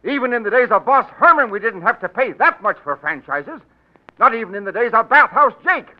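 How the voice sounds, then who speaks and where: A man's voice: very high voice, animated, fairly quick. An actor playing an indignant, blustering businessman in a 1940s radio drama, speaking with great emphasis. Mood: outraged. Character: blustering, indignant male businessman paying political graft. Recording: dull 1940s radio recording.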